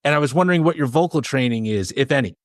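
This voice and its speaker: A man's voice: medium pitch, animated, racing, no echo, clean studio sound. A man interviewing a band, chatting casually and enthusiastically with plenty of compliments.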